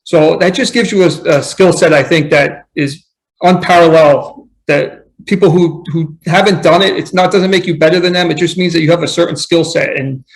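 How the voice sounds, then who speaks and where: A man's voice: on the high side, steady tone, normal speed, rough recording. A man guesting on a podcast, talking earnestly and reflectively in casual conversation, often restarting his sentences. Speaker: podcast guest, running coach.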